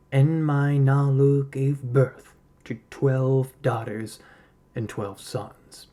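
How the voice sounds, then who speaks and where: A man reading a literary story aloud as a narrator, in a measured, solemn storytelling manner. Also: mid-range voice, warm tone. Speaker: podcast host reading a short story aloud.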